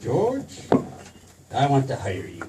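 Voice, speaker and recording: Man acting a slow, sly old banker villain. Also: mid-range voice, wildly swooping pitch. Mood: coolly persuasive. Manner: smug chuckling, deliberate, condescending. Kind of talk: 1940s radio drama acting. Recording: distant stage mic, faint noise.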